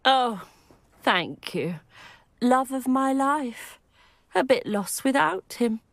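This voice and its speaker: A kindly village potion-maker, gentle and wistful, speaks in a high, very animated voice. It is close, dry video game dialogue. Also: female voice, slow, vocal fry, no room sound, exaggerated voice.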